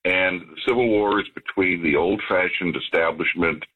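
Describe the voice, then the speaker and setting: Deep husky man on a phone line. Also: normal speed. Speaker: political commentator guest.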